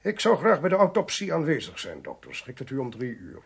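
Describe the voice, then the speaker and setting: Creaky-voiced, commanding investigator. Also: male voice, mid-range voice, huge pitch swings, exaggerated voice. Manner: brisk, authoritative, dryly ironic. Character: commanding, shrewd male investigator, insistent and dryly ironic. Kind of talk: Dutch radio play acting.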